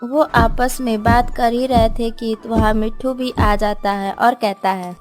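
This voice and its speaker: A woman's voice: on the high side, fairly even intonation, a little slow. A woman reading scripted narration for a children's cartoon story. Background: music.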